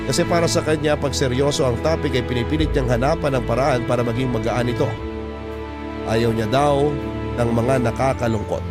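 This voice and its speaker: A man with a Filipino accent reading a letter as a radio host, in measured, heartfelt, dramatic narration. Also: medium pitch. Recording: loud music under the voice.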